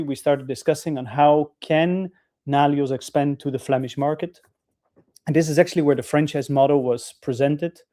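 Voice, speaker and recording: A man's voice: a little high, warm tone. A man from the Netherlands, speaking in an office over a video call, telling his career story earnestly in long, winding sentences. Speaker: franchise partner, guest speaker. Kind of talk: webinar storytelling.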